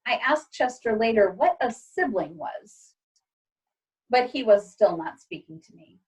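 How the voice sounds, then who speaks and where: A woman reading a children's storybook aloud like a teacher, with expressive, clearly phrased delivery. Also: a little high, a little slow, poor audio.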